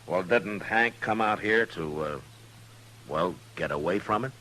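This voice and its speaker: A steady frontier lawman with a low, hard-edged American voice. He is firm, measured and a little weary, on a slightly noisy vintage radio western recording. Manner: firm, plain-spoken, measured. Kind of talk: old-time radio western acting.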